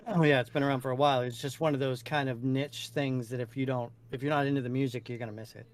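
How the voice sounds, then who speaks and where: A man's voice: mid-range voice, fairly even intonation, quick pace. A man co-hosting, chatting casually as a music fan.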